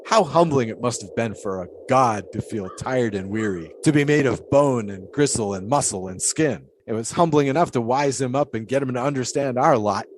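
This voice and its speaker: An actor playing a pious, philosophical 1920s flagpole sitter who talks in a folksy, preachy way. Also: male voice, mid-range voice, normal speed, hardly any pauses, slight background noise, natural voice, poor audio. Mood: musing. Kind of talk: audio drama acting.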